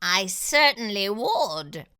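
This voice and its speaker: A woman voicing a sly, greedy male fox in a children's storybook, with a smug, drawn-out, theatrical delivery. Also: mid-range voice, very animated, pushed and bright, smooth, full character voice. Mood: eager. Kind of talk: children's storybook read-aloud.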